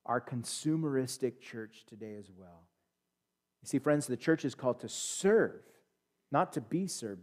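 A man in his thirties with an American accent, preaching a sermon in a church sanctuary on a headset mic, swinging from loud emphatic peaks to quiet asides. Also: on the high side. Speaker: pastor. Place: church sanctuary, standing at a pulpit.

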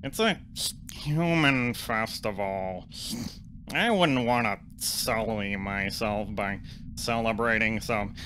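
An actor playing a sullen, haughty alien front desk clerk who scorns humans, deadpan and grudging, in a comic audio drama. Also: medium pitch, takes it slowly, a little hiss or hum. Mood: disdainful.

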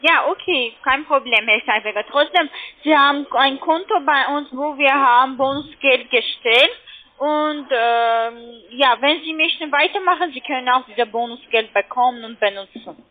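A woman working as a call centre agent, making a sales call in halting, non-native phrasing over a phone line. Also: high voice. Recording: tinny narrow phone line.

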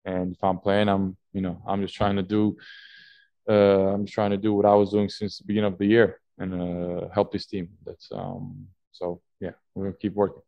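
A young man answers press questions after a game in a low voice, stumbling through restarts and fillers. The sound is dry and close on a table mic. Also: monotone, gravelly, dry recording, dull audio. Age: young adult. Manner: halting, many fillers and restarts. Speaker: basketball player at postgame press conference. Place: team media room, indoors. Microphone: table mic on stand.

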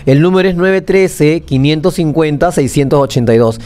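A man's voice: on the high side, moderate pace, warm tone, slight background noise. Young man, Peruvian accent, TV news anchor, emphatic live commentary, repeated phrases, studio. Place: small TV news studio, seated at desk.

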